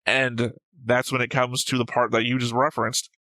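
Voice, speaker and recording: A man's voice: medium pitch, flat delivery, moderate pace, bright, forceful tone, dry recording, rough recording. A man who hosts a reaction show, talking casually and discursively about a series, rambling and swearing freely.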